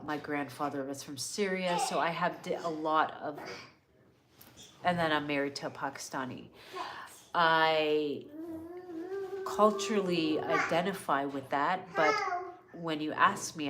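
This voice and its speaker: A woman's voice: sits low, background noise. A middle-aged woman from North America hosting a casual live wellness Q&A, chatty and earnest as she reads out viewer questions. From born in Canada, grew up in California. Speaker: wellness livestream host.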